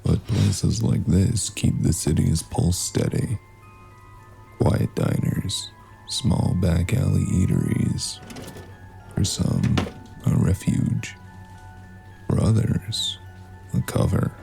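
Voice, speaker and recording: Man as a very deep, gravelly noir storyteller. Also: mellow, soft background music, exaggerated voice. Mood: brooding. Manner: ominous, measured, terse phrases. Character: brooding, mysterious male noir storyteller called Stranger. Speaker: narrator. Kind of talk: slow noir scripted narration.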